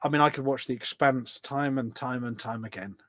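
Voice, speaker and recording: A man's voice: medium pitch, steady tone, a little fast, no room sound. A man talking enthusiastically as a guest in a casual interview, explaining things in a rambling way. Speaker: podcast guest, gaming community event organiser.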